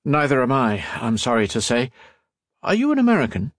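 A man voicing a courteous, inquisitive young gentleman doctor in an audiobook story, with a polite, formal and chatty manner. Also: mid-range voice, very animated, fairly quick, no room sound, clean studio sound, pitched above the performer's own voice. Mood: friendly, curious.

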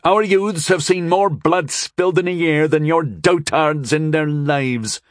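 Fierce, proud noblewoman, played by a male narrator for fantasy audiobook. Declamatory, confident, pitched up. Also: a little slow, dry recording, clean studio sound, lightly acted. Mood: proud defiance. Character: proud, saucy frontier noblewoman, fierce young wife.

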